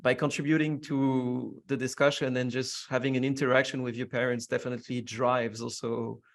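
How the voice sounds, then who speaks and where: A man's voice: mid-range voice, little pitch movement, moderate pace. A young French man guest in an interview, answering in a reflective way with many fillers. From France. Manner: reflective, curious, frequent fillers. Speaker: podcast guest.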